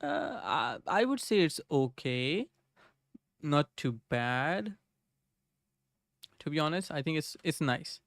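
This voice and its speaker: A man's voice: a little high, sing-song, takes it slowly, pauses often, a bit bright, no room sound, studio quality. A young man streaming live from his home desk, thinking aloud as he codes and greeting viewers in the chat. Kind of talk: casual live coding chat. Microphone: desk microphone.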